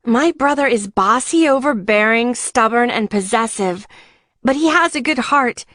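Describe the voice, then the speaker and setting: A woman's voice: on the high side, lively intonation, moderate pace, a bit bright, no room sound. A woman voicing a frank, reassuring young woman, a loyal sister, in an audiobook.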